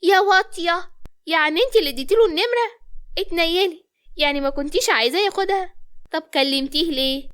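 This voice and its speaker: A woman's voice: very high-pitched, fast talker, fairly bright tone. A woman playing young women in love in an Egyptian Arabic romance audio story, by turns bashful, sassy and easily offended. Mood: teasing, excited.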